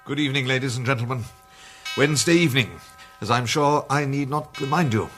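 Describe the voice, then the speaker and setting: A man's voice: mid-range voice, a little fast, fairly bright tone, faint music behind, lightly acted. An actor playing a formal, droll male president of a detective club, with a British accent and a ceremonious, wordy manner, in a period mystery drama. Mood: formal, wry.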